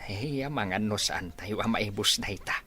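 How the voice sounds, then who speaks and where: A man playing a lively middle-aged village father in a radio drama, with an Ilocano Filipino accent. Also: lowish voice, moderate pace, breathy edge. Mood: chatty. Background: music.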